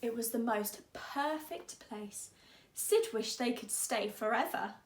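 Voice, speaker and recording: A woman teacher with a fairly high voice reads to children. She is expressive and deliberate, lingering on words at a slow pace. The room adds a clear echo. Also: slight background noise. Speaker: teacher reading to pupils. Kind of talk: expressive children's story reading.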